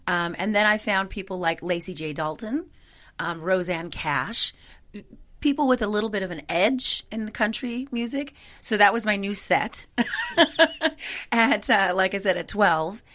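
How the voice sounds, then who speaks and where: A woman singer in a conversational interview, with a gravelly voice and a chatty manner full of "you know". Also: mid-range voice, lively intonation, measured pace, fairly bright tone.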